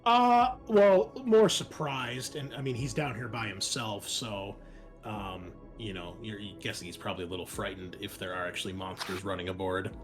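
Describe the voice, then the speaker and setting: A man running a tabletop roleplaying game, narrating and explaining casually in a halting way. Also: lowish voice, soft background music. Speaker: game master.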